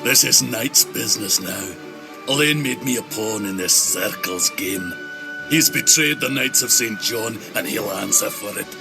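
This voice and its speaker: An actor playing a gruff, determined knight in a video game, blunt and terse. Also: male voice, lowish voice, measured pace. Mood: bitter resolve. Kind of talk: video game cutscene acting. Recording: loud music behind. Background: music.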